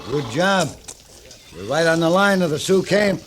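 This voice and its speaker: A man's voice: fairly high pitch, animated, a little slow, fairly bright tone. An actor playing an easygoing, plain-spoken male frontier scout in a radio western, laconic and folksy. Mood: friendly, matter-of-fact. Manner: laconic, folksy, drops words. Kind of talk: 1950s radio western acting. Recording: noisy old radio drama recording.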